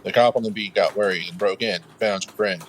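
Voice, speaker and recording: A male police captain played by an actor reports in a low, fast, matter-of-fact voice. It is old-time radio crime drama, with faint noise behind. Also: steady tone, fairly bright tone, close to an everyday voice, low-quality recording. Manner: brisk, factual.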